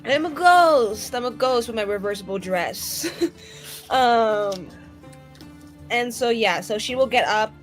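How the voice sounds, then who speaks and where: Woman w a higher voice chatting thru her rpg character's moves, slangy, soft music behind. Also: animated, takes it slowly. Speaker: tabletop game player. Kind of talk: casual in-game narration.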